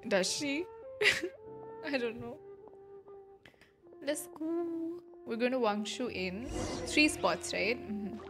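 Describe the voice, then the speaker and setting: A woman's voice: sits high, big pitch swings, drawn-out words, long pauses. A woman in her twenties chatting casually and reacting as a livestreamer to a game. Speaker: game streamer. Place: small indoor streaming room. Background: game music and dialogue.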